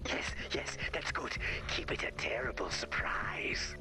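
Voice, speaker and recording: A theatrical, story-loving villain from a video game. He draws his words out slowly, with pitch that swings widely. Music plays behind him. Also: animated, whispered. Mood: gleefully sinister.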